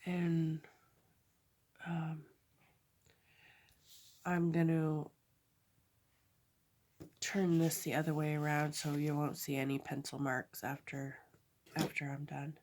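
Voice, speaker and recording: A woman with a stuffy nose from a cold, chatting through a craft tutorial at her table, halting often and correcting herself. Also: sits low, level tone, a little mellow. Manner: halting, chatty, many fillers and self-corrections. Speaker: crafter demonstrating a project.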